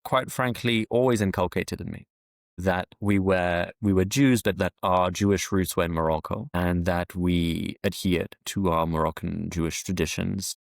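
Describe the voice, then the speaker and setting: A man born in France, a historian answering interview questions at a measured pace, often restarting phrases with fillers. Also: low voice, gravelly, no echo, clean studio sound. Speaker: podcast guest, historian. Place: London, UK.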